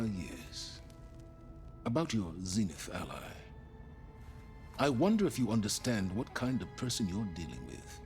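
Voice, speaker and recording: An actor playing an arrogant, cynical male scholar in a video game, speaking with condescending deliberation. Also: deep voice.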